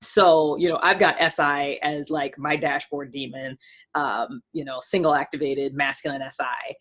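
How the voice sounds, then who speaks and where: A woman's voice: deep voice, fairly even intonation, normal speed, a bit bright. An American woman, around her thirties, talking casually and informally as she explains things in a podcast-style monologue. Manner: informal, conversational, peppered with personal examples. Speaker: podcast host.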